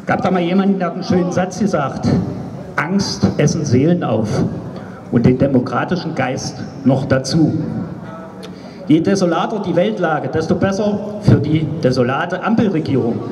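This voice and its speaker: A man in his fifties giving an emphatic, declamatory political speech at a rally, partly read from notes, over a loudspeaker outdoors. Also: on the high side, lively intonation, moderate pace. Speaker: speaker at a political street rally. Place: outdoors on a cobbled town square at night. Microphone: handheld microphone through loudspeaker, recorded by a far camera. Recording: echoey outdoor PA, far from camera.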